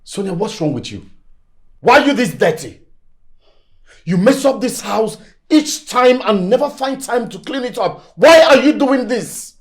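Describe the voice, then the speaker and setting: A frustrated, stubborn Nigerian boyfriend in a film drama, speaking with indignant emphasis. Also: male voice, on the high side, big pitch swings, normal speed, a little mellow. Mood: irritated.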